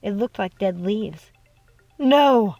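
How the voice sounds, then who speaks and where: A woman reading a dark storybook tale as a dramatic audiobook narrator, with music playing behind her. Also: medium pitch, moderate pace, dry recording. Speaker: audiobook reader.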